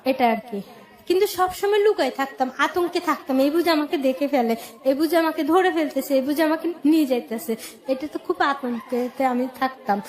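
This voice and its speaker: Radio guest, female, Bangladeshi accent, high voice, recounting her own life in simple, colloquial short phrases. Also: brisk, darkish tone. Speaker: guest telling life story. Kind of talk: personal life-story storytelling on radio.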